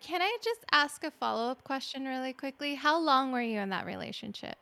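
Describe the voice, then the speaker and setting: A woman's voice: high-pitched, expressive, a little fast, creaky, pushed and bright. A woman co-hosting a podcast, upbeat with eager interjections. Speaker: podcast co-host.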